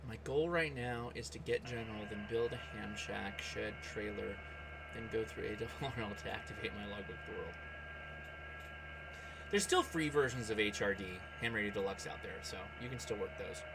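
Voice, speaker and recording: A man hosting a hobby livestream, chatting casually and reacting aloud in an excitable way, often addressing people by name. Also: fairly low pitch, normal speed, gravelly, heavy background noise. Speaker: host of a ham radio livestream. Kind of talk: casual livestream chat and running commentary while operating radio.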